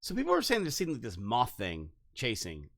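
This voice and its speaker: A man's voice: mid-range voice, huge pitch swings, a little fast, creaky, fairly bright tone, no room sound, clean studio sound. A man co-hosting a podcast, chatting casually, skeptical and joking as he keeps urging his co-host to go on. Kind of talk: casual chat.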